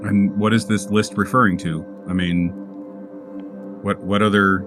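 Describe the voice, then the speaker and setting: Male podcast host and tabletop player, lowish voice, informal conversational delivery. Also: level tone, measured pace, music in the background. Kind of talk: casual show intro and game talk.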